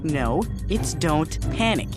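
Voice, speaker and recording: A cocky teenage boy hero, quick with a quip and lively in pitch. Loud game music plays behind him. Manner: cocky, quippy. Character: cocky boy inventor from the future, teen. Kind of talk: video game cutscene acting.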